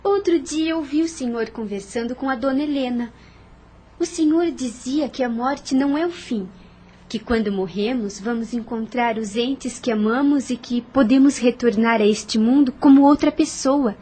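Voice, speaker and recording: An earnest, devout young daughter from an audio drama, as an actress plays her. Her voice sits fairly high with a husky edge. She speaks sweetly and with pleading sincerity. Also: moderate pace, warm tone, natural voice. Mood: curious.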